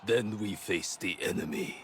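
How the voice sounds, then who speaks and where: An actor playing a proud, grim samurai lord in a video game, speaking gravely and deliberately. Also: male voice, on the low side, a bit bright, slight background noise. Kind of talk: video game cinematic acting.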